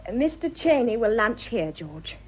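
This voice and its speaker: High-voiced, polite young hostess. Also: female voice, sing-song, normal speed. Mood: courteous. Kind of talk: period stage comedy in radio drama. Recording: slightly muffled radio drama recording.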